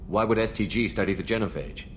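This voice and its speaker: An actor playing a male military commander in a video game, with an even, measured delivery. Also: lowish voice, slight background noise, close to an everyday voice. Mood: steady. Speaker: ship commander.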